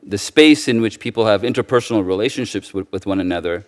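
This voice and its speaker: A man giving formal testimony as a judicial nominee, answering carefully with a hesitant, halting, stammering delivery. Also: on the low side.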